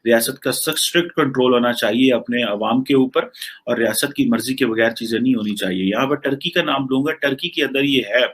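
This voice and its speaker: A man's voice: medium pitch, fairly quick, fairly bright tone, husky. A man with a Pakistani accent talks through current affairs in an unscripted, explanatory way, mixing in English phrases. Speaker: political commentator on a talk show. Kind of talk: unscripted news discussion.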